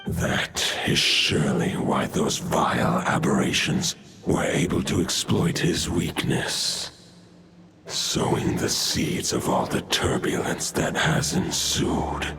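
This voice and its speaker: An actor playing an ancient, vast male wolf god who speaks in a grave, archaic, measured way, in a video game. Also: heavily acted. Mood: solemn. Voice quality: deep gravelly voice.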